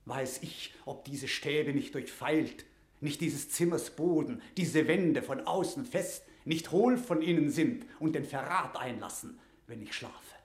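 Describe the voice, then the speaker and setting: A man's voice: a little high, measured pace, reverberant, lightly acted. An actor playing a stern, suspicious middle-aged English knight who guards a captive queen, with solemn, declamatory diction in a classical German verse radio drama. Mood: brooding.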